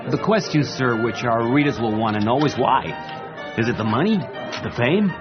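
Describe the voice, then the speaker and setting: An actor as a probing reporter, music behind. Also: male voice, mid-range voice, animated, normal speed, highs cut off, lightly acted. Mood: sceptical. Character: persistent, sceptical American newspaper reporter. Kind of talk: radio drama acting.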